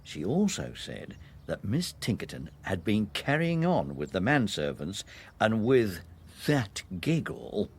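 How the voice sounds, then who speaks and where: An actor playing a loyal, plain-spoken police detective's sidekick in a British radio drama, with an English accent and a respectful, stolid manner. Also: male voice, on the low side, wildly swooping pitch, slow, breathy edge, exaggerated voice. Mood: respectful, confiding.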